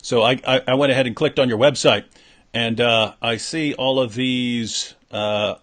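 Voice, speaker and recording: A man hosting an interview, asking questions in relaxed casual talk with many fillers. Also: mid-range voice, a little slow. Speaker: interview host.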